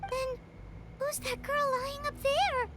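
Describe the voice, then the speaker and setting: A woman voicing a tiny, excitable floating companion in a video game, in an anxious, blurting manner. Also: very high-pitched, takes it slowly, very noisy. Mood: alarmed. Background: game score music.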